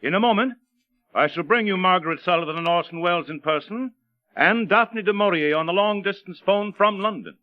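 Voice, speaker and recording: A man's voice: high voice, a little fast, no echo. A man announcing a 1930s radio program in a formal, scripted, presentational manner. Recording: dry, muffled vintage radio with music.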